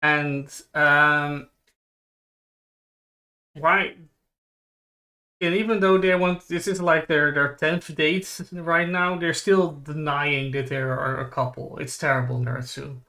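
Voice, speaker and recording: Bright-voiced man, halting casual delivery. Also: on the high side, steady tone. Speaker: co-host of an anime discussion livestream. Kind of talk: casual anime recap and chat.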